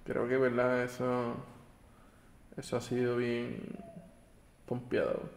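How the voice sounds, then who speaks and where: A man's voice: mid-range voice, monotone, slow pace. A man hosting a podcast, asking his guest questions in a friendly way and leaning on little fillers. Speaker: podcast host. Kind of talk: interview questions. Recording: roomy echo.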